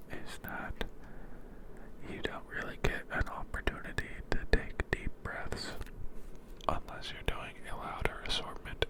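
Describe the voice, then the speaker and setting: An ASMR creator at home, whispering softly in a meandering ramble, with a sore throat and a sniffly nose, into a handheld field recorder. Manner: soft, meandering, unhurried whisper. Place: home, indoors.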